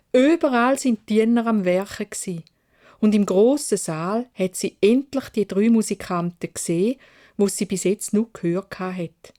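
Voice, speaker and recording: A woman tells a children's fairy tale in Swiss German. Her voice is mid-pitched, and she takes her time, shaping each phrase expressively as a storyteller. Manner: measured, expressive.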